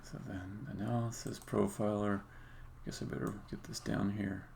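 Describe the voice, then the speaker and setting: A man with a deep-leaning voice full of heavy fry talks through his coding on a casual stream. He works haltingly, half to himself, like a developer thinking out loud. A faint bed of room noise and keyboard clicking sits behind the voice. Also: level tone. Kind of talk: casual live-coding commentary, thinking aloud.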